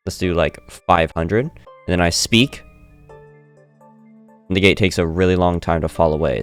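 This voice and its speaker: A man casually walking through his microphone settings in a screen-recorded tutorial, often correcting himself as he goes. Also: low-pitched. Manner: relaxed, self-correcting explainer. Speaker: streamer demonstrating audio software. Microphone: studio dynamic mic through audio interface.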